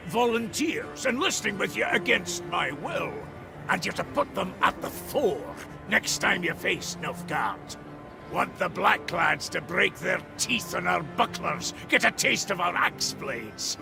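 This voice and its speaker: Very gravelly old Scottish dwarf elder. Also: male voice, sits high, very animated, a little slow, music in the background, full character voice. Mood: sly, gruff. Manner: blunt, folksy, bossy. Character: gruff, stubborn old dwarf elder of a mountain clan. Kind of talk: dramatic video game voice acting.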